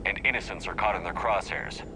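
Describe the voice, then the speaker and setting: An actor playing a resolute, serious space soldier commander with a terse, firm delivery in a video game. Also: male voice, deep voice, brisk, noisy background, lightly acted. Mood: firm, focused. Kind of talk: sci-fi video game dialogue.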